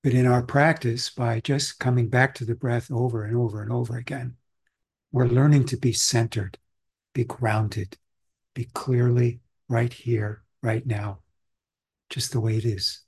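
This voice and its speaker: A man from Austria, a meditation teacher, giving a calm, reflective and conversational Zen dharma talk. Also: medium pitch, measured pace, husky.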